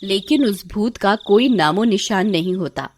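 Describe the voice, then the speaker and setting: A woman reading scripted story narration for a cartoon, with loud noisy ambience around her voice. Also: medium pitch, expressive, measured pace.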